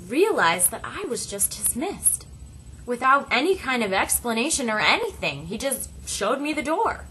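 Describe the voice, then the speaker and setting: A woman voicing a first-person story as an interpreter, speaking deliberately in short phrases with dramatic pauses. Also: a little high, pushed and bright, slight background noise, poor audio.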